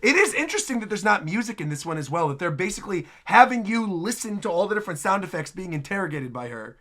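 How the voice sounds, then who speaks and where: A man's voice: high voice, sing-song, fast talker, a bit bright. An American man hosting a reaction video with casual, exclamatory, jokey commentary. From United States, Midwest. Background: trailer audio and music.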